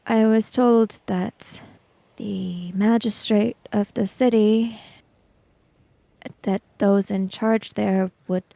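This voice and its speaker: A woman playing a tabletop roleplaying game, speaking improvised dialogue in a measured, deliberate way with halting phrases. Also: medium pitch, gravelly, mellow, no echo.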